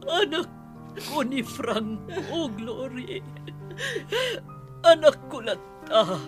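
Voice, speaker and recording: An actress plays a sorrowing Ilocano mother in a radio drama. Her high voice rises and falls with feeling as she draws out her words slowly over clear music. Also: expressive, heavily acted. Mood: sorrowful. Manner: emotional, drawn-out. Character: sorrowing madam, mother of a jailed son.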